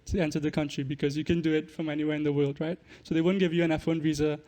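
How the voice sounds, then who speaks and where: A man's voice: on the high side, flat delivery, very fast, warm tone. A young man, a podcast guest explaining things in casual interview talk. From Trinidad and Tobago. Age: young adult. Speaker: podcast guest, musician and student.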